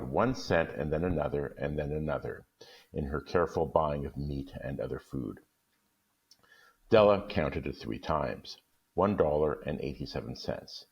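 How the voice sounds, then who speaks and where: A man with an extremely deep, low voice reads a classic short story aloud at an unhurried, even pace. The recording is muffled and lo-fi. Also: creaky. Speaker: guest reader.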